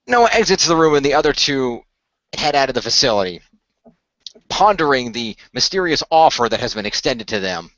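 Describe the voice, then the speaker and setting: A man reads scripted narration for a radio play, with frequent stumbles and restarts. His voice is medium in pitch, on a dry, slightly dull home recording. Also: sing-song, normal speed, a bit bright, no room sound. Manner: stumbles and restarts while reading.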